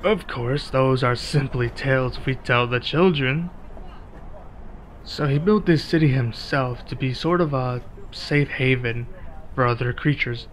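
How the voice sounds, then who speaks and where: Man in casual, measured roleplay. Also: medium pitch, a little hiss or hum, low-quality recording. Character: laid-back, reluctantly friendly male werewolf local. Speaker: ASMR roleplay performer. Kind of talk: ASMR roleplay acting, one-sided conversation.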